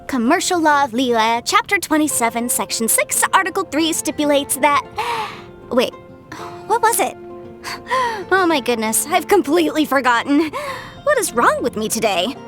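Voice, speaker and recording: High, lively young female legal whiz. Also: moderate pace, soft background music. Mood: flustered. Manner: articulate, theatrical, self-assured, wordy legal phrasing. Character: confident, chatty, clever young female legal adviser. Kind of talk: anime-style video game character voice lines.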